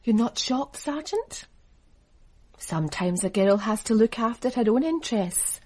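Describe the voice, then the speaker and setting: A woman's voice: fairly high pitch, expressive, measured pace, darkish tone, slightly rough. An actress playing a cool, calculating businesswoman in a radio drama, guarded and seductive.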